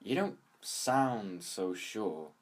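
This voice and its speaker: A man's voice: lowish voice, animated, drawn-out words, full character voice. A man playing a suspicious police interrogator in an amateur radio drama, pressing with pointed questions.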